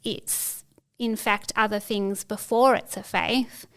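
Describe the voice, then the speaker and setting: Middle-aged woman, interview guest, very clean smooth voice, fairly flat delivery, thoughtful with restarts. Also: medium pitch, takes it slowly. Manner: thoughtful, searching for words with frequent restarts. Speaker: podcast guest. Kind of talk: reflective interview conversation.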